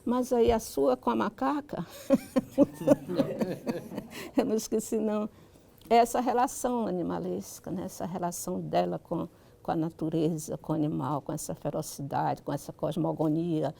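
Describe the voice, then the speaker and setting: A Brazilian woman speaking reflectively and haltingly at a public talk, often checking in with short rhetorical questions. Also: lowish voice, steady tone, gravelly, mellow. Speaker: guest author.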